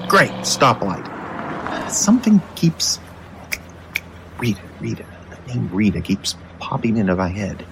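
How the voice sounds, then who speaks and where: A man's voice: lowish voice, very animated, measured pace, vocal fry, long pauses, background noise, full character voice. A man playing a weary, jittery city detective in an old-time horror radio play, stammering and flustered as he talks. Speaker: detective lead in an audio drama.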